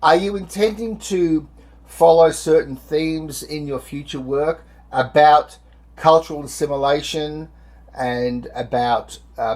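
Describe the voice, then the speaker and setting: A man interviewing a filmmaker, asking long, formal questions in a deliberate, measured way. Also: a little high, a little mellow.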